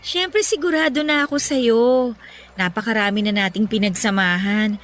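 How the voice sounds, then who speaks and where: A woman playing a caring, level-headed young girlfriend in a Filipino radio romance, gentle and reassuring. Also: fairly high pitch, moderate pace, close to an everyday voice. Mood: sincere. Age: young adult. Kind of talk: Tagalog radio romance drama acting.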